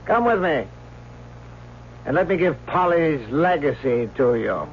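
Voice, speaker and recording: Slow, menacing, vengeful husband. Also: male voice, fairly high pitch, animated. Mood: gloating, menacing. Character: cruel, vengeful, humiliated husband gloating over his rival. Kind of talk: old-time radio mystery drama acting. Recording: muffled, faintly noisy vintage radio recording.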